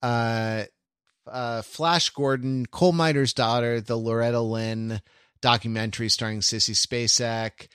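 A man's voice: mid-range voice, fairly even intonation, measured pace, a bit bright, smooth, no echo. Man chatting casually in a dry, close sound. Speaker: podcast co-host. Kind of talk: casual chat.

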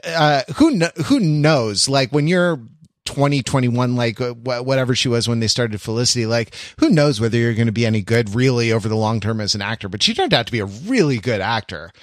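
A man's voice: medium pitch, lively intonation, fairly quick, no room sound. Dry-sounding man in casual podcast chat. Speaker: podcast co-host.

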